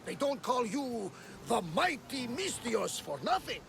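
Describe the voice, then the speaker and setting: An actor playing a jovial older ship captain in a game cutscene, hearty and boisterous, with a gravelly voice. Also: male voice, very high-pitched, very animated, measured pace, fairly bright tone, a little hiss or hum, heavily acted. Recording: game cutscene with music behind.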